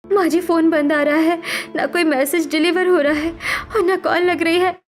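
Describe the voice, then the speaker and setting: Determined young daughter-in-law for a cartoon drama, played by a woman: high voice, urgent, caring, music underneath. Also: steady tone, moderate pace. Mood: concerned. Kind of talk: dramatic Hindi cartoon story acting.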